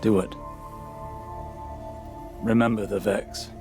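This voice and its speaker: A man's voice: lowish voice, fairly even intonation, takes it slowly, mellow. An actor playing a grieving, devoted man in a video game, emotional and pleading with his beloved. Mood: insistent, tender. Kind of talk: dramatic video game acting. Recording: music behind the voice.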